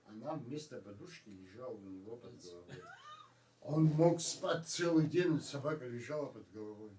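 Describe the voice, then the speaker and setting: A man's voice: mid-range voice, lively intonation, normal speed, a little mellow. A man, a voice actor talking casually and reading verse at a cartoon session. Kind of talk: casual talk and verse read-throughs between takes. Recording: roomy, distant microphone.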